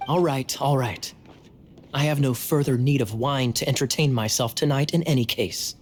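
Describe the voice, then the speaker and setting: A man playing a suave young knight in a video game, talking in a wry, teasing, smooth way. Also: mid-range voice, normal speed, a little mellow, lightly acted. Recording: faint background ambience noise.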